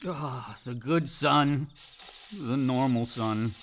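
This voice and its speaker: An actor playing a drunk, bitter, self-mocking son with slurred, sardonic delivery in a radio play. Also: male voice, medium pitch, slow, fairly bright tone, slight background noise. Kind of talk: naturalistic radio play acting.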